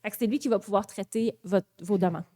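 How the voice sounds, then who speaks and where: A woman's voice: mid-range voice, steady tone, quick pace, dark tone. A woman with a Québécois accent, the acting mayor, chairs a city council meeting in a friendly, informal way. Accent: Québécois French.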